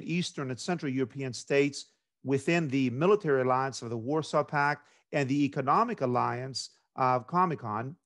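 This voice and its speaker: A middle-aged man from Greece, a government instructor, giving a measured academic lecture over a video call. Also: a little high.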